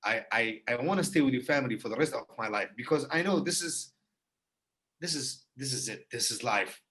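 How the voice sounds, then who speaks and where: A man's voice: medium pitch, lively intonation, a little fast, a bit bright. Earnest man. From Iraq. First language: Kurdish. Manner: earnest and emphatic, repeating phrases for effect. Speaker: guest. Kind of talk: heartfelt conversation.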